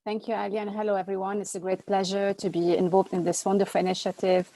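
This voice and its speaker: A woman's voice: medium pitch, flat delivery, quick pace, mellow, low-quality recording. A middle-aged woman from Lebanon, a company founder telling her career story as an interview guest in an earnest, thoughtful way with many hesitations. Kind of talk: answering interview questions, reflective storytelling.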